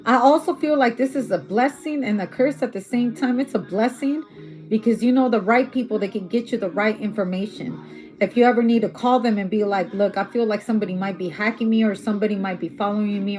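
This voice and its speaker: A woman's voice: mid-range voice, fairly quick, darkish tone, faint music behind. A woman doing a casual tarot reading who is losing her voice, talking bluntly and swearing freely. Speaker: tarot reader.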